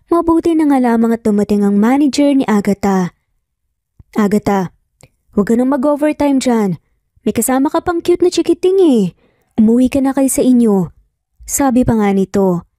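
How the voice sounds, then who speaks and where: Filipino woman, story narrator, scripted romance drama, soft mellow tone, dry sound. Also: on the high side, dry recording.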